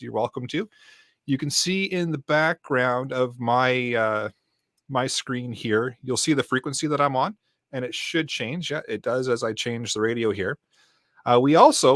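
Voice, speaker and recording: Guy hosting a livestream, casual explainer talk, normal pitch, dry sound. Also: a bit bright, no room sound. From Canada. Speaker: podcast host. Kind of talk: casual live-stream chat and explanation.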